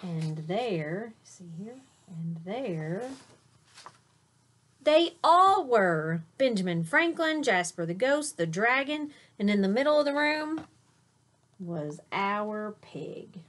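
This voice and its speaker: A woman's voice: mid-range voice, big pitch swings, takes it slowly, fairly bright tone. Woman reading expressively to children. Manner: dramatic, questioning asides to young listeners. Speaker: teacher reading aloud to children. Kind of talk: expressive children's picture-book read-aloud.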